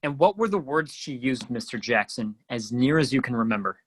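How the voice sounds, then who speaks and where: A man playing a forceful, accusing district attorney in a radio play, theatrical and emphatic. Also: mid-range voice, expressive, a little fast, husky, no echo, rough recording. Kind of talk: radio play courtroom acting.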